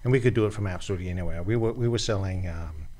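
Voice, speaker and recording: Low-voiced man from Denmark, relaxed storyteller. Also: racing. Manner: relaxed storytelling with many fillers and restarts. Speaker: podcast guest, e-commerce seller. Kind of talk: casual interview chat. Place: small dim studio. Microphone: desk mic on boom arm.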